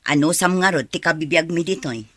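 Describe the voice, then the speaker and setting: An actress playing a grudging, questioning older aunt with a husky voice in an Ilocano radio drama. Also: female voice, low voice, fairly quick, fairly bright tone, dry recording. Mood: wary.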